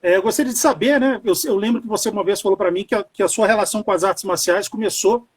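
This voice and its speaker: A man's voice: sits high, fast, slightly rough. A man from Brazil hosting a live interview, asking polite, deferential questions. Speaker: host.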